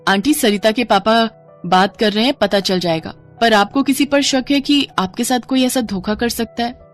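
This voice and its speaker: A woman's voice: on the high side, moderate pace, faint music behind, close to an everyday voice. A woman voicing a kind, helpful young female customer in a moral story, eager to help. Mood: concerned. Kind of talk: storybook character acting.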